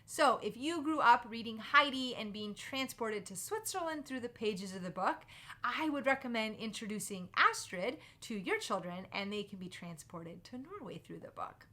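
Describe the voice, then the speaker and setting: A woman's voice: fairly high pitch, lively intonation, normal speed, bright, forceful tone. A woman hosting a book recommendation video, speaking to viewers in an enthusiastic, emphatic presenter style. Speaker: host of a children's book recommendation channel. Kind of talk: upbeat scripted book review to camera.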